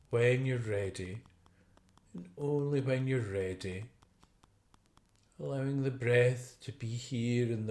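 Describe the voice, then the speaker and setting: A man leading a guided meditation as a teacher, speaking gently and unhurriedly with long pauses. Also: mid-range voice. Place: indoor room. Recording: roomy, echoey video call recording.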